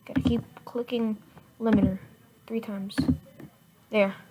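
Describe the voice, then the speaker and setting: A woman giving a casual software tutorial in informal, halting step-by-step instructions. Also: medium pitch, steady tone. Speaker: tutorial presenter. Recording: noisy home computer mic, clicks.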